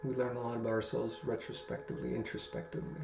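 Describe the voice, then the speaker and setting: A young man talking reflectively to camera in a slow, halting, earnest way with long pauses. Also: mid-range voice, big pitch swings, creaky. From Guam. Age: young adult. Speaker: storytelling vlogger. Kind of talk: reflective personal talk to camera. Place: small room at home, indoors. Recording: roomy echo, faint music.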